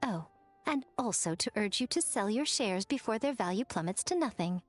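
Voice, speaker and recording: A woman's voice: medium pitch, expressive, moderate pace, soft background music, lightly acted. A woman playing a sly, elegant, self-assured shrine maiden with an arch, teasing manner in an anime-style video game. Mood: sly, playful. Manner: arch, playful, teasing, theatrical.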